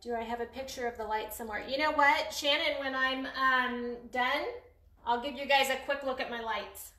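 A middle-aged woman chatting casually to a live stream while working, unhurried and trailing off as she demonstrates a craft. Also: high-pitched, fairly even intonation, pushed and bright. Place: indoor craft paint shop. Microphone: phone or camera a few feet away. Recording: roomy echo, clear noise, clicks.

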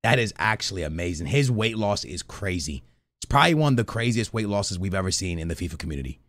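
A man's voice: low-pitched, animated, fast talker, fairly bright tone. A man in his thirties chatting casually and in slang to his live stream chat while reacting to clips. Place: small indoor streaming room. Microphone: close studio mic on boom arm.

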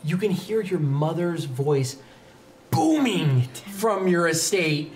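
A man's voice: fairly high pitch, expressive, slow, darkish tone. A young man narrating a tabletop roleplaying game as game master, in descriptive, conversational storytelling. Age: young adult. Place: indoor studio set, seated at a desk. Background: background music.

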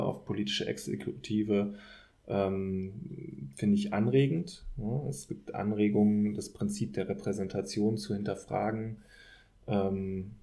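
A man in a thoughtful academic podcast discussion, speaking in a hesitant, measured way as an expert guest. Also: lowish voice, mellow. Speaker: podcast guest, political scientist.

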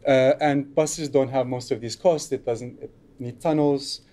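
Middle-aged guy presenting at a lectern, dark mellow voice, pretty monotone, lots of fillers. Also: medium pitch, measured pace. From Turkey. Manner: relaxed, loose delivery with frequent fillers. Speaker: conference speaker. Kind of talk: conference talk. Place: lecture room, at a lectern.

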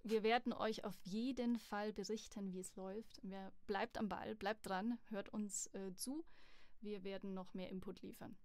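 A woman's voice: medium pitch, moderate pace. A young woman talks in an easy, candid way, telling her story with plenty of life. She has a mid-range voice for a woman. The sound is dry and close, with no room echo, as in a small podcast studio. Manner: chatty, candid, lively storytelling. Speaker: voice acting student, guest. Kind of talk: casual podcast interview. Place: studio at a music academy.

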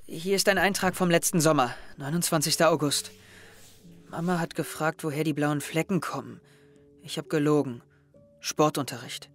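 An earnest teenage boy in an audio drama, guilt-ridden and hesitant. His youngish voice sits fairly high and stays subdued, with prominent music behind it. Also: normal speed, fairly bright tone. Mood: sad, subdued.

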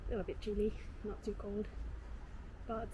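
A woman's voice: medium pitch, level tone. Chatty woman vlogging outdoors in traffic. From Finland. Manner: chatty, unhurried, frequent "uh" fillers. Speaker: walking-tour vlogger. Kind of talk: casual narration while walking. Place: outdoors on city streets. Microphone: camera's built-in mic. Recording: street noise and passing traffic.

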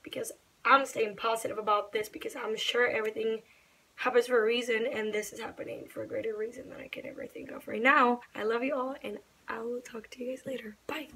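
A young woman vlogging to camera in a small bedroom, talking earnestly and openly, her voice slightly raspy and breathy. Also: on the high side, steady tone, a little fast, fairly bright tone. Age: young adult. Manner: earnest, open, reassuring. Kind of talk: personal vlog talk to camera.

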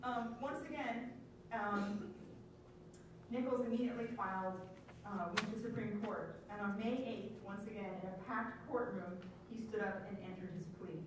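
A woman's voice: mid-range voice, steady tone, normal speed. Echoey, distant woman lecturer. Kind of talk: public history lecture. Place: large bare-walled room, indoors. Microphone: podium microphone, recorded by far camera. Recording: echoey, noisy room heard from far off.

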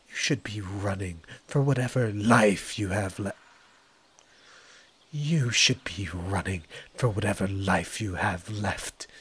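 A man voicing a proud, needy, menacing ancient forest entity in an ASMR roleplay, breathy and husky, with theatrical, formal diction. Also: on the low side, a bit bright, heavily acted. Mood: frustrated, demanding. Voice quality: breathy, husky, often dropping toward a whisper.

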